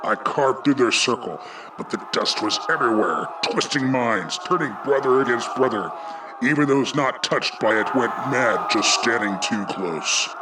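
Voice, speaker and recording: An actor playing a grim, hard-boiled dragon detective, narrating a noir radio play in terse, brooding lines. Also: male voice, mid-range voice, lively intonation, moderate pace, very noisy, lightly acted. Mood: brooding narration. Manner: terse, brooding, menacing delivery. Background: loud music.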